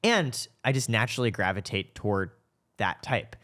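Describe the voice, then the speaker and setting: A man's voice: fairly low pitch, sing-song, normal speed, a bit bright. A man hosting a podcast in a casual, conversational interview style, speaking informally and with enthusiasm. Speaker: podcast host and startup co-founder.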